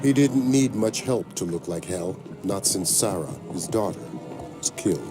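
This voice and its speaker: A weary senior intelligence man narrating a spy game. His voice is very low, grave and plain-spoken, with a gruff loyalty to it. Prominent music runs underneath. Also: expressive, normal speed. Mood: somber, regretful.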